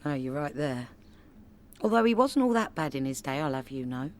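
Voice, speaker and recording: Woman acting a lively London wife and mum. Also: low-pitched, moderate pace. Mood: frank. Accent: London English. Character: working-class London wife and mum, loyal, indignant. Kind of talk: comedy radio play acting.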